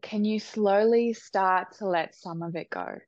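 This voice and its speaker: A woman leading a guided meditation with soft, soothing instructions. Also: medium pitch, dry recording, highs cut off. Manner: soft, slow, soothing instructions with long pauses.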